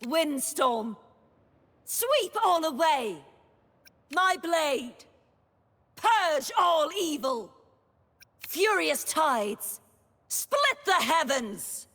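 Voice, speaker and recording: A woman's voice: fairly high pitch, animated, takes it slowly, long pauses, slightly rough, exaggerated voice. Solemn knightly young woman, long reverb. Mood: fierce battle cries. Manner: formal, dramatic, archaic phrasing. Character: noble, solemn young woman knight wielding sword and tides. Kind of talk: scripted video game character voice lines.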